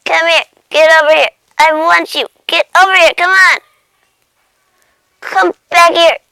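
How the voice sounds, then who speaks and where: A childish little pet cat voiced by a woman thinks out loud for a comic voice-over. The voice is high and bright, with slow, drawn-out words. It is whiny and excitable, repeating itself and breaking into meows. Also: gravelly, no room sound, exaggerated voice, poor audio. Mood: frantic, excited. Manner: whiny, repetitive, babyish self-narration with meows. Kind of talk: comic voice-over of a pet's thoughts.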